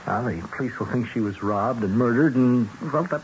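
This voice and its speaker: An actor playing an anxious, fast-talking political fixer in a 1970s radio drama, urgent and placating, stumbling over words. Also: male voice, medium pitch, close to an everyday voice. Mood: smooth, assured. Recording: dull, noisy old radio broadcast.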